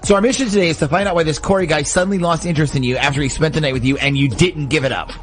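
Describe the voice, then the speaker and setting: A man hosting a morning radio show, chatting and asking informal questions in lively phone-in banter. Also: a little high, very fast. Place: radio studio.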